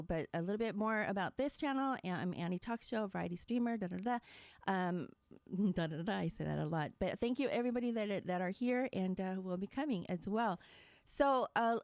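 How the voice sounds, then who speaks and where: A woman hosting a casual livestream podcast as a variety streamer, upbeat and excitable, with lots of ums and restarts. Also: medium pitch, no room sound.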